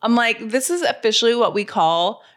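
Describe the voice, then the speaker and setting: A woman's voice: a little high, moderate pace, fairly bright tone. A woman co-hosting a radio show in casual, conversational banter with a wry storytelling manner.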